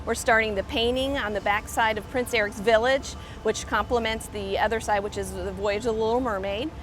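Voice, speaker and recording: A woman's voice: fairly high pitch, fairly even intonation, a little fast, background noise. A woman on an outdoor construction site, explaining the work to the camera in an informative way, with frequent ums. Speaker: construction project spokesperson.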